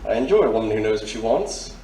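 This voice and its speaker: A man's voice: on the low side, expressive, quick pace, warm tone. An actor playing a gallant, suave military major and lawman in a noir radio drama, polite and formal. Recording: roomy, distant, faint noise.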